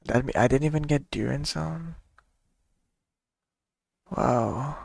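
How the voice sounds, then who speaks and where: A man's voice: mid-range voice, takes it slowly, creaky, dry recording, studio quality. A young man giving casual, slangy gameplay commentary in a laid-back way. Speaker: game streamer.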